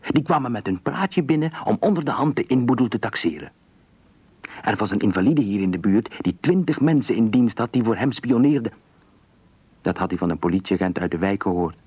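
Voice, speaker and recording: A man narrating a literary radio thriller story in a measured, expressive storytelling manner. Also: fairly low pitch, breathy edge, no echo, phone-quality sound.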